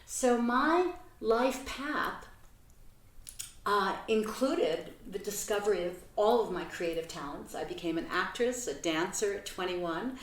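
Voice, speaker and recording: An older woman from Belgium telling her life story as an interview guest at home, earnest and reflective, with pauses and fillers. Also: mid-range voice, animated. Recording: roomy sound from a distant mic.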